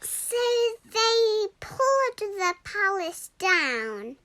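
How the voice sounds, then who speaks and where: A young girl reading a rhyming children's storybook aloud in a deliberate, expressive way. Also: extremely high pitch, no echo. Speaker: story reader.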